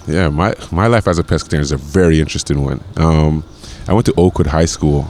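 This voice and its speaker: A man's voice: very deep voice, quick pace, a little mellow, a little hiss or hum. A man in his forties from Grenada, a chef guest talking casually, with lots of repeated words and fillers. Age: 40s. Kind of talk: casual conversation.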